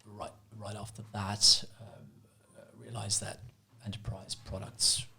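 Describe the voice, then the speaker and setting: A man who is a guest on a podcast, talking about his career in a hesitant way with many pauses and restarts. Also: lowish voice, little pitch movement, creaky, bright, forceful tone, breathy edge. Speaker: guest, product manager. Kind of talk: casual podcast conversation.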